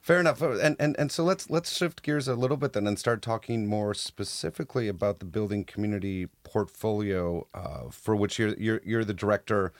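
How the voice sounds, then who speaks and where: Interviewer guy, lowish voice, stumbles and repeats a lot, dry sound. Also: no echo. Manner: halting, repeats words while framing questions. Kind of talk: interview questions.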